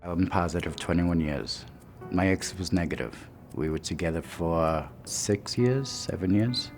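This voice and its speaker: Very deep-voiced man in his fifties. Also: a little slow, a little mellow. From Brooklyn, New York. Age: 50s. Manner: emphatic, direct. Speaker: interviewee. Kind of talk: personal testimony. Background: music.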